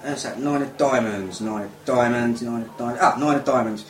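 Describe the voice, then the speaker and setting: A woman performing in a comedy sketch, speaking with a deliberately fake Norwegian accent and stiff, formal phrasing. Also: a bass voice. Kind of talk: scripted comedy sketch acting. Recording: roomy echo, distant mic.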